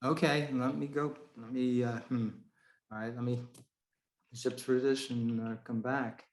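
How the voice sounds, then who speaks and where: A man's voice: mid-range voice, flat delivery, a little slow. A man presenting a prepared talk to a club over a video call, in a roomy-sounding space.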